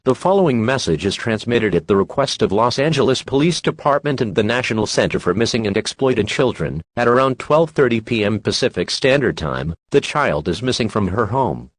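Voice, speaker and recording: A man's voice: fairly low pitch, breathy edge, dry recording. A man playing a formal, impersonal emergency broadcast announcer reading a scripted alert.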